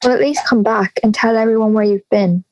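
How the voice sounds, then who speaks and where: Blunt schoolmate passing on gossip young woman acting, matter-of-fact, slightly high voice, radio play style. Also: fairly even intonation, brisk, a little mellow, natural voice, poor audio. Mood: urgent, gossipy. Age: teenage or young adult. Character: blunt gossipy school friend on a phone call. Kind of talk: audio drama dialogue.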